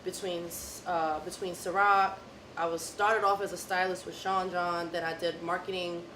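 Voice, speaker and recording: A woman's voice: lowish voice, flat delivery, moderate pace, a bit bright. A young woman with an American accent chatting casually and slangily as a musician in an interview. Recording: echoey, noisy room.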